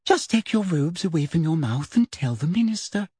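A sugary, silky-voiced woman official, soft and simpering but cold beneath the smile, as a man puts her on in a high, lilting voice. Her tones float well above the reader's own, dry and close, with a husky edge. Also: very animated, normal speed, no room sound, exaggerated voice. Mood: smug. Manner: silky, falsely sweet. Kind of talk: audiobook character voice.

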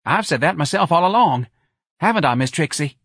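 A man's voice: fairly high pitch, animated, a little fast, a bit bright, husky, no echo, clean studio sound, voice pushed up, full character voice. A man voicing a nervous, fawning male office manager whose voice sounds false.

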